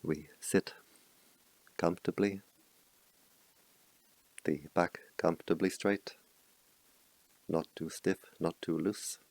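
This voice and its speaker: A man leading a guided meditation as a teacher, speaking slowly and calmly in soft, spaced-out phrases with long pauses. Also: sits low, gravelly, no echo, low-quality recording. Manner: slow, calm, soft-spoken instructions with long pauses.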